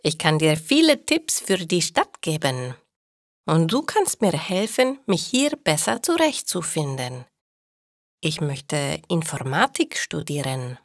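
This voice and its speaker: A young Spanish woman learning German, as the narrator reads her out in a learners' storybook. The speech is slow and careful, with a lively melody. The recording is close and dry. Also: low voice, no room sound, heavily acted. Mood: friendly.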